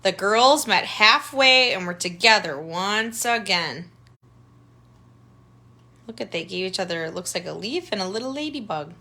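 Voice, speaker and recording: A woman's voice: mid-range voice, animated, measured pace, sharp tone. A woman tutor reading a picture book aloud to children, adding simple explanatory asides and pointing things out. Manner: simple child-directed phrasing, pointing things out with questions and remarks.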